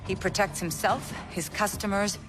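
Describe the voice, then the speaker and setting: An actress playing a stern, authoritative military intelligence officer delivering a clipped, scripted game mission briefing. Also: female voice, mid-range voice, steady tone, music in the background, lightly acted. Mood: grave, resolute. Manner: clipped, commanding, deliberate. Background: game score.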